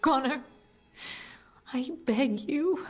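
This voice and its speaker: A slow, fearful noble mother. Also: high voice, a little mellow. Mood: fearful pleading. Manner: halting, anxious, pleading. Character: frightened pleading noblewoman, mother of a child. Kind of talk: fantasy video game voice acting.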